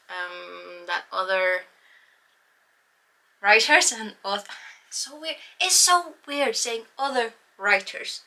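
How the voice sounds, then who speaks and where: Icelandic woman, young adult, non-native english, bright and clear tone, slightly high voice, chatty self-deprecating vlog talk. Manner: chatty and self-mocking, with fillers. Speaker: writing vlogger. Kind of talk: casual vlog talk to camera.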